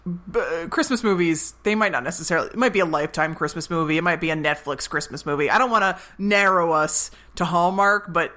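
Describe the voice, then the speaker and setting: A woman co-hosting a podcast in casual chat, playful and self-mocking, quick to laugh. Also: lowish voice, pushed and bright. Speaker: podcast co-host. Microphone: remote call.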